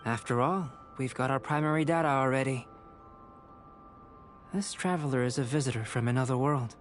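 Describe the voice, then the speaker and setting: A man voicing a calm, cerebral young alchemist scholar in a video game, measured, formal and coolly detached. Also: medium pitch, a little hiss or hum, close to an everyday voice. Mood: analytical. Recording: music behind the voice.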